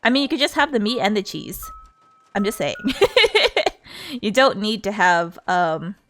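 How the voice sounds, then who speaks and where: A woman's voice: medium pitch, very animated, a little slow, fairly bright tone, soft background music. A woman livestreamer chatting casually in a playful, giggly, rambling way. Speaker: streamer.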